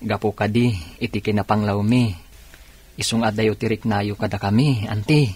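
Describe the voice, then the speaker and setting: Husky, earnest Ilocano nephew. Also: male voice, on the low side, steady tone, normal speed, darkish tone. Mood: forgiving, humble. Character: humble, forgiving hardworking nephew, earnest and helpful. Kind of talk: Ilocano radio drama acting. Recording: music under the dialogue. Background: background music.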